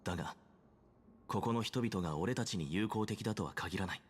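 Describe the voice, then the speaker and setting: A man's voice: fairly low pitch, racing, husky. An actor playing a serious, guarded young male adventurer in a game, with a terse and grave manner. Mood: grave, wary. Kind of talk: Japanese game voice acting. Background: game music.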